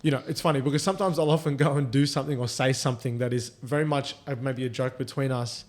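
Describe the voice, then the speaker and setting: A man's voice: mid-range voice, fairly even intonation, fast talker, darkish tone. Chatty Australian man, rambling. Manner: rambling, filler-heavy storytelling. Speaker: podcast co-host. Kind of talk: casual chat.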